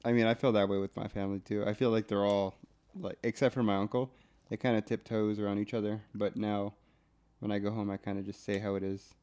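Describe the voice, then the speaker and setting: A young man with a fairly low voice talks in a relaxed podcast chat. He is easygoing and lets his sentences trail off. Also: fast, clear, resonant tone. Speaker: podcast co-host. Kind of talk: casual chat.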